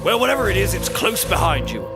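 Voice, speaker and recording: An actor playing a pompous, bossy hunter in a scripted comedy, declaiming in a grandiose mock-heroic way. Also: male voice, high voice, big pitch swings, fast talker, creaky, loud music behind the voice, exaggerated voice. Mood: exasperated.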